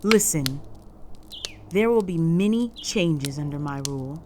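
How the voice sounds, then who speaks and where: A woman playing a stern, proud, possessive female orc chieftain in a roleplay audio drama, speaking in a formal, measured, authoritative way. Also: sits low, animated, background noise. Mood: commanding, stern.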